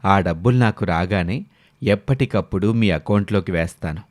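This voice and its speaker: A man voicing a grave, trusting village gentleman with a formal, measured manner in a dramatized story. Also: deep voice, no echo, voice pushed down, natural voice. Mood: earnest, solemn.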